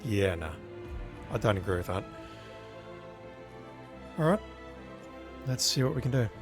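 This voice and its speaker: A man commentates casually on his game stream in a croaky, worn voice. He talks in a rambling, chatty way at a slightly low pitch, with faint game music behind him. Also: expressive, measured pace. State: croaky from illness.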